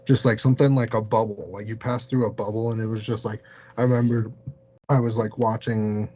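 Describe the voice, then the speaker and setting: A man telling a story casually as an interview guest, halting and peppering his sentences with "like". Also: on the low side, little pitch movement, dark tone, slightly rough, highs cut off. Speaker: podcast guest, paranormal investigator.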